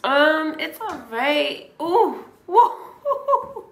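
A young woman reacts casually while being treated, with exclamations and short answers. Her voice is high and swoops a lot, and the room is echoey. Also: sing-song, extremely slow, a bit bright. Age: young adult. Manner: exclamatory, informal. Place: small indoor treatment room, lying face down.

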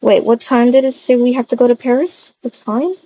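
Casting: woman, higher-pitched, mellow voice, improvised game roleplay, talks through each action. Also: a little fast, highs cut off, slight background noise. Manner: chatty, narrates own actions aloud. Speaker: roleplay video creator.

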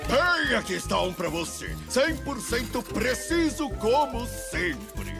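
A Brazilian man voicing a pompous, excitable middle-aged toad executive with a boastful, theatrical manner in a cartoon dub. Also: very high voice, slow pace, vocal fry, full character voice. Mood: smug. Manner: boastful, theatrical, prone to shouting. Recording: loud music behind.

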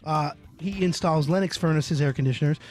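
A middle-aged man hosting a radio call-in interview in a small studio, speaking close to a large mic with animated, emphatic storytelling. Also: on the high side, brisk, faint music behind. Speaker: radio show host.

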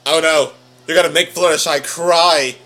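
Excitable young man reacting, bright voice. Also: fairly high pitch, normal speed. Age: young adult. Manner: excitable, exclaiming. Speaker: reaction video host. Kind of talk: casual reacting commentary. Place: living room, seated on a couch.

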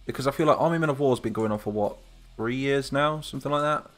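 Chatty English streamer reading chat. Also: male voice, mid-range voice, fast talker. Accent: Lincolnshire English. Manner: chatty, rambling, reads chat comments aloud. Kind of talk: live stream chat while building a game map.

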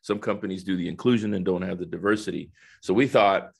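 A man in his fifties with a low voice talks as an interview guest. He explains things conversationally, pausing and restarting as he goes, and often checks in with a short tag question. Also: vocal fry, husky. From El Salvador, raised in the United States. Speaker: guest, corporate diversity executive. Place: indoor office, video call. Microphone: headset on a video call.

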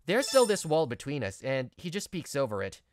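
Man playing earnest young office worker, higher-pitched. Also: wildly swooping pitch, brisk, background noise. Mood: sincere. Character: mild, earnest, self-blaming young male office worker. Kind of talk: scripted manga story dub, narration and dialogue.

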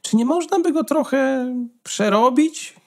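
A woman's voice: high-pitched, expressive, unhurried, vocal fry, darkish tone, exaggerated voice. A woman playing a haughty, disdainful old duck, a condescending grand lady in a fairy-tale radio play. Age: older. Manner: condescending, drawling.

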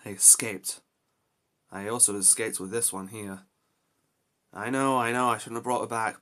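A man's voice: mid-range voice, a bit bright. A man voicing an anxious, protective young adventurer in amateur character lines, talking to himself with dramatic exclamations. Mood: defensive, indignant. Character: anxious, reluctant young adult male adventurer hero, protective.

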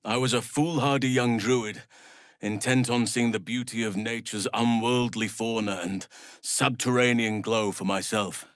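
An actor playing a gentle, grave elf druid in a fantasy game, speaking in a solemn, measured and formal way. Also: male voice, medium pitch, fairly even intonation, dry recording, studio quality, lightly acted. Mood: reflective. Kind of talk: dramatic fantasy game voice acting.

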